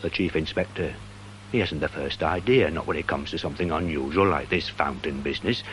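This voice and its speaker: An actor playing a pedantic, self-important, slightly dim British police sergeant in a radio drama, with a wordy, deliberate manner. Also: male voice, rumbling low, a bit bright, slight background noise. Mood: confiding.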